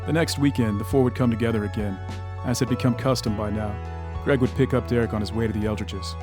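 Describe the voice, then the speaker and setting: A male narrator, voiced by an actor tells the story of an audio drama. His voice is fairly low and very quick. Music plays clearly behind the scripted narration. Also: fairly even intonation, lightly acted. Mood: matter-of-fact.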